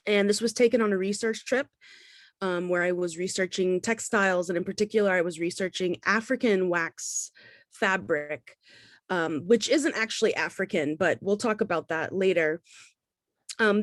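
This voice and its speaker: A woman's voice: mid-range voice, no echo. Woman from the Bahamas, dry close sound. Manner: explanatory, conversational. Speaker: artist and professor giving a talk. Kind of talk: informal artist talk with slides.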